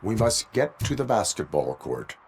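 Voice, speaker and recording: An actor playing a stoic, grave cyborg policeman in a video game, with stiff, formal, deliberate delivery. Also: on the low side, very animated, vocal fry, darkish tone, faint music behind, heavily acted. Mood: stern, resolute.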